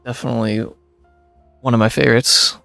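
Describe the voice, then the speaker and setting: Very creaky-voiced man casually commentating a game. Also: mid-range voice, flat delivery, fairly quick, fairly bright tone, dry recording. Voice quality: creaky, gravelly. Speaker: game streamer. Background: faint game music.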